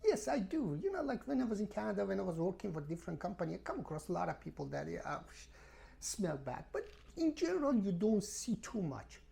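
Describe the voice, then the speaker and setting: Woman vlogger, english not her first language, kinda gravelly mellow voice, ranting and repeating herself, emphatic. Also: mid-range voice, sing-song, brisk. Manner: emphatic, repetitive, exasperated storytelling. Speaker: travel vlogger. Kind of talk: casual rambling rant to camera.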